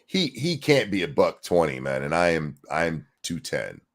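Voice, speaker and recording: A man's voice: extremely low pitch, big pitch swings, normal speed, noisy background. A man hosting a casual livestream chat, talking in a loose, rambling way with restarts. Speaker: host.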